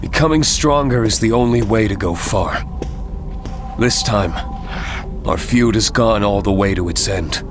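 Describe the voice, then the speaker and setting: A man's voice: fairly low pitch, unhurried, lightly acted. An actor playing a grave, weary male hero in a game cutscene, brooding as he speaks. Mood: grim resolve. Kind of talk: dramatic game cutscene acting. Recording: very loud music under the voice.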